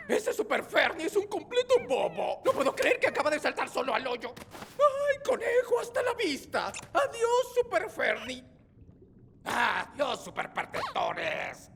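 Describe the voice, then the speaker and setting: A woman's voice: sits high, wildly swooping pitch, a little slow, vocal fry, heavily acted. A woman voicing a bratty, gloating slimy pickle villain in a children's cartoon dub, with a Latin American Spanish accent and a mocking, exclamatory manner. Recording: loud music behind the voice.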